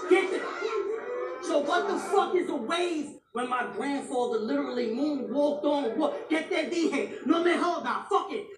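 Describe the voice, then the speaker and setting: Woman battle rapper, high and shouty, caught echoey and off-mic at a live venue. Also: lively intonation, unhurried, recorded from a distance, crowd noise. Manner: aggressive, shouting taunts, repeating lines. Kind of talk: battle rap performance.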